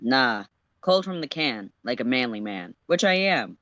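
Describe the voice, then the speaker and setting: A flippant, wisecracking teenage boy, acted by a man in a story reading. His delivery is very animated in pitch and dodges with slangy teasing. The sound is dry, with no room around it. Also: a little slow, dry recording, dull audio. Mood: smug.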